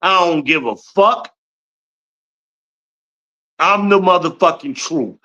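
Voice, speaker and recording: A man's voice: on the high side, expressive, takes it slowly, pushed and bright, highs cut off. Boastful profane man in his fifties. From Newark, New Jersey. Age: 50s. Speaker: podcast host. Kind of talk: profane livestream rant.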